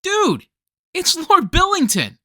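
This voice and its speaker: A woman playing an earnest, starstruck fan in a radio play, with a gushing, halting delivery. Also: very high voice, huge pitch swings, bright, forceful tone, no room sound, studio quality, heavily acted. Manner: stammering, gushing.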